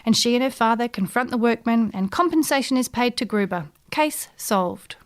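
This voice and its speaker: High-voiced woman reviewing a book. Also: expressive, moderate pace. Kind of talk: scripted book review narration.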